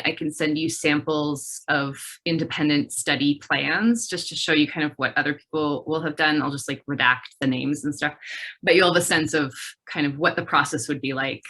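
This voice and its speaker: A woman hosting a relaxed conversation about a graduate program, talking in a chatty, upbeat way with frequent fillers. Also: sits low. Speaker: graduate program faculty host. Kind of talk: casual video-call chat.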